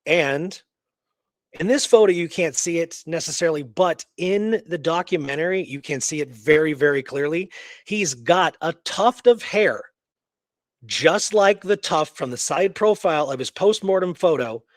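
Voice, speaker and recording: Casual man, slightly high voice, dry sound. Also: lively intonation, moderate pace, no echo. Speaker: radio show co-host. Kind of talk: casual talk recounting history from notes.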